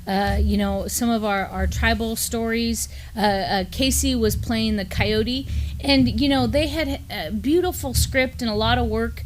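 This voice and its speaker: A woman's voice: on the high side, measured pace, slight background noise. Middle-aged woman co-hosting native news. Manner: easygoing, many "you know" fillers. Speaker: news show co-host. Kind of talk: casual news chat. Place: studio with mottled backdrop, at a desk.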